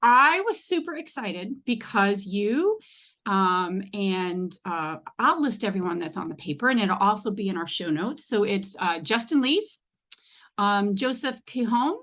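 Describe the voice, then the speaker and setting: A woman's voice: mid-range voice, expressive, unhurried, fairly bright tone. A woman hosting a casual podcast interview in an enthusiastic, informal way. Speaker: podcast host.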